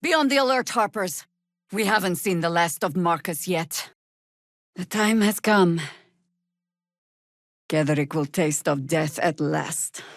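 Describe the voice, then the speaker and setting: A woman voice actor playing a seasoned, older druid warrior in a video game, wry, stern and proud, with measured, commanding delivery. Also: fairly low pitch, animated, dry recording, studio quality. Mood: resolute. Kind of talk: scripted video game dialogue.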